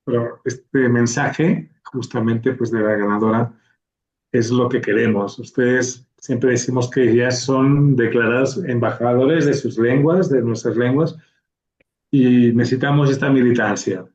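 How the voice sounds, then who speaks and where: Halting man, slow and echoey. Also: medium pitch, fairly even intonation, darkish tone, highs cut off. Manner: halting, many fillers and restarts. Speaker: organizer and host of a literary award ceremony. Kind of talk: hesitant ceremonial remarks, switching to Catalan.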